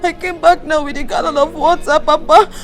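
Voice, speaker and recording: A wronged young Nigerian daughter in an emotional film drama, plaintive and crying. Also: female voice, sits high, fairly even intonation, fairly quick, soft background music. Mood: tearful, plaintive. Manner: plaintive, protesting innocence.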